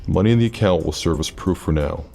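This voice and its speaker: An actor playing a secretive, centuries-old immortal leader in an audio drama, speaking with measured, formal authority. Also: male voice, sits low, gravelly, dark tone, soft background music. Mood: urgent, solemn.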